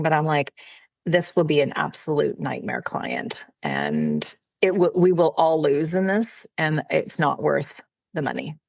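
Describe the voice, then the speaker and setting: A woman's voice: deep voice, vocal fry, no room sound. A woman, a personal brand consultant from Canada, speaking as a podcast guest in a chatty, friendly way with frequent fillers. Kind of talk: casual interview conversation.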